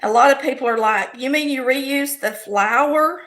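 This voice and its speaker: A woman with a Southern US accent and a raspy, hoarse voice that sits a touch high. She talks folksily and explains every step as she cooks in her home kitchen, sounding middle-aged. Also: measured pace, fairly bright tone. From American South. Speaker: home cooking show host. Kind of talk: casual cooking demonstration.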